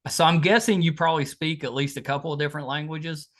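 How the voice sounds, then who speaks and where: A man's voice: fairly high pitch, fairly even intonation, fast, fairly bright tone. A man hosting a podcast, chatting easily with his guest and agreeing often. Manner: friendly, agreeable interviewer with many "yeah" replies. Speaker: podcast host. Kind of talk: casual interview chat.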